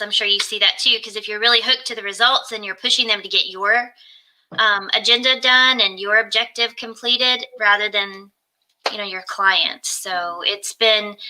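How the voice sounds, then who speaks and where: A soft-spoken woman talking earnestly as a guest coach on a casual video call from home. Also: mid-range voice, poor audio.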